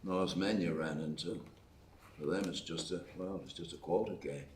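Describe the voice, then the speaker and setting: An actor reading an older widowed farmer at a live table read, calm and protective, speaking slowly and steadily, heard from far off in an echoey theatre. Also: male voice, low-pitched, a little hiss or hum, lightly acted. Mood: gentle. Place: theatre stage.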